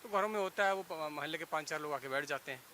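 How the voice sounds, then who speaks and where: A man's voice: fairly high pitch, fast, bright, forceful tone. A man debating on a panel, insistent and repetitive, mixing in English words, over slight hiss.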